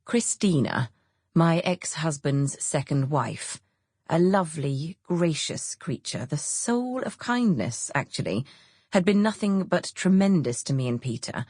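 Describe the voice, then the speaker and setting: An English woman narrates a novel with expressive, scripted delivery. Her voice sits low and has a husky grain. The studio sound is close and dry. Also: measured pace, dry recording. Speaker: audiobook narrator.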